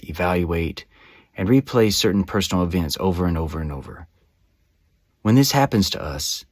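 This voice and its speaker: Low-pitched man guiding a meditation. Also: no room sound, clean studio sound. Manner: measured, instructional, soothing phrasing. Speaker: meditation guide. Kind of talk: scripted guided meditation narration.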